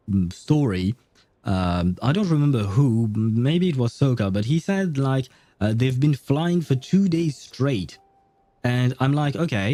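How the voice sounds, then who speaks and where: A man's voice: fairly low pitch, mellow, no room sound. A man livestreaming a game, chatting casually in a hesitant, rambling way with chuckles and casual swearing.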